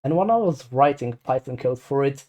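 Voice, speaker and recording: Hesitant Czech-accented man, mellow tone. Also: mid-range voice, lively intonation, normal speed. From Czech Republic. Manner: hesitant, rambling, repeats words, apologetic. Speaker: amateur math explainer. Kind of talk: casual unscripted screen tutorial.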